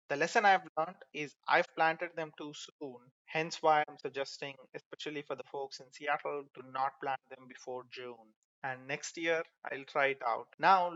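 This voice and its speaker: A man's voice: a little high, a bit bright, no echo. Guy from india doing his gardening vlog voiceover, kinda muffled lo-fi sound, friendly how-to tone. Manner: friendly, instructive, numbered tips. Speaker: gardening video host. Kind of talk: narrated gardening tutorial.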